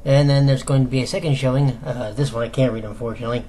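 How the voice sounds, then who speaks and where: An American man giving casual fan news commentary as a solo video host, in a halting, conversational manner with rhetorical questions. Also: mid-range voice, steady tone, warm tone. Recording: noticeable background noise.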